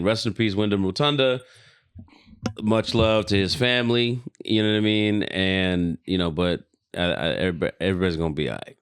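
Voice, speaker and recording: Low, smooth-voiced American man, closely recorded. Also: no echo, studio quality. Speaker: podcast co-host. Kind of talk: casual chat.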